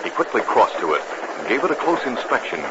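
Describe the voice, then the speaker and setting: American guy, old time radio announcer, polished n dramatic, muffled recording w loud noise. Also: medium pitch, very animated, fast. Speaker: announcer and narrator. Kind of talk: 1950s radio scripted narration and advertising.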